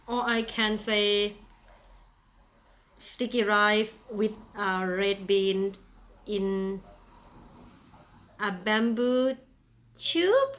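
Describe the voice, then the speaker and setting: A woman's voice: mid-range voice, a bit bright. A young woman from Thailand with a Thai accent, chatting playfully through a casual how-to demonstration with many fillers. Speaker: vlogger.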